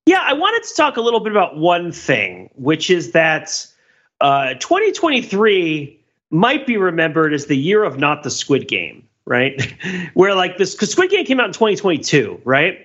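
Animated, bright-voiced man in podcast chat. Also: high voice, moderate pace, breathy edge, highs cut off. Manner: rambling, self-interrupting explanations. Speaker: podcast co-host. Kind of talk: casual podcast discussion.

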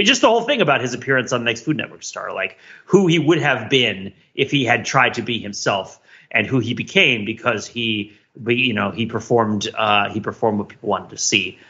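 A man's voice: medium pitch, pushed and bright. A man co-hosting a podcast, giving rambling, mock-serious commentary on trivial things in a casual style. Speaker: podcast co-host. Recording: slightly dull, lo-fi audio.

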